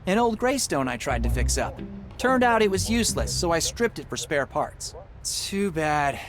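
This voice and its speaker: A boyish young man, an inventor and adventure game hero. His fairly high voice moves with lots of melody, earnest and a touch nervous, over soft background music. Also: big pitch swings, moderate pace. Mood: musing. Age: young adult. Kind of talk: adventure game dialogue and musing.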